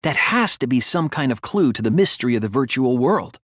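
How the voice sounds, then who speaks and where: A man voicing an earnest young male detective in a video game, thoughtful and anguished but resolute, with deliberate, analytical phrasing. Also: mid-range voice, sing-song, fairly bright tone, no echo, studio quality. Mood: analytical, serious. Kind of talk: dramatic video game voice acting, deductive explanation.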